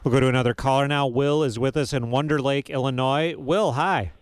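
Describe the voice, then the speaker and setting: A male radio host talks quickly and in a friendly way, taking calls on the air. His voice is close and dry, recorded at a studio microphone. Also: mid-range voice, steady tone, smooth, no echo. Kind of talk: radio call-in interview.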